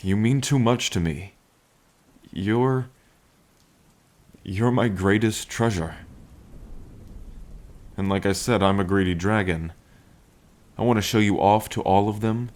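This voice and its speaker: Man voicing a deep, languid dragon king. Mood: tender, smitten. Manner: languid, teasing, theatrical, tender. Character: playful, lovestruck, greedy male dragon king. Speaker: roleplay voice performer. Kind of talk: intimate ASMR roleplay acting. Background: rain.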